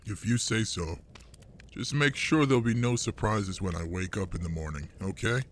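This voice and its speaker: A man playing a gruff older male monster adventurer in an intimate audio roleplay, fond and teasing with a human partner. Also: lowish voice, moderate pace, slight background noise, natural voice. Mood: steady, wary.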